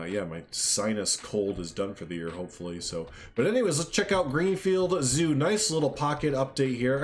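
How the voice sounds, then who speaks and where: A man hosting a casual livestream, stuffed up from a sinus cold, chatting in a friendly, rambling way and reading out chat. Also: medium pitch. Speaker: livestream host.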